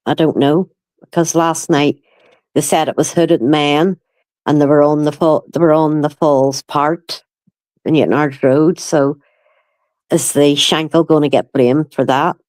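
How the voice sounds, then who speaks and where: A woman's voice: low voice, steady tone, a little slow, a little mellow, no echo. Northern Irish woman, close dry sound. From County Down, Northern Ireland. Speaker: livestream guest. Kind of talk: casual chat.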